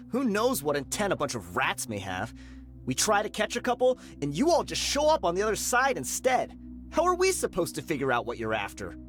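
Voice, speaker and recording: A scornful mercenary leader, mocking and hostile, with a very lively, swinging delivery. Soft game music plays behind him. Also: male voice, high-pitched, moderate pace, full character voice.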